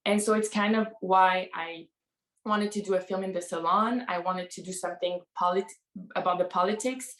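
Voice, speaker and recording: A woman's voice: on the low side, steady tone, moderate pace, a bit bright, roomy sound. A woman filmmaker from Tunisia, answering earnestly on a panel and often correcting herself mid-sentence. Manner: earnest, self-correcting, many "like" fillers.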